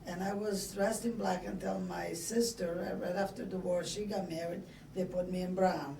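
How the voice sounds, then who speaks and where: An older woman from Italy with an Italian accent, reminiscing casually and haltingly in an interview as she tells stories from her life. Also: on the low side, fairly even intonation, creaky. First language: Italian dialect. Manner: halting, chatty storytelling. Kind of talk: casual oral-history reminiscing. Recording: echoey room, distant mic, background noise.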